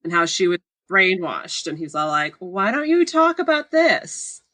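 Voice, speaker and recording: A woman's voice: fairly low pitch, big pitch swings, measured pace, pushed and bright, dry recording. A woman in a casual book discussion on a podcast, talking informally with a wry manner. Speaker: podcast panelist.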